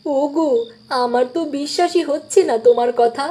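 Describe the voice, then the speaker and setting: A woman's voice: high voice, moderate pace, dark tone, natural voice. A woman voicing a clever village wife in a cartoon story, teasing and self-assured.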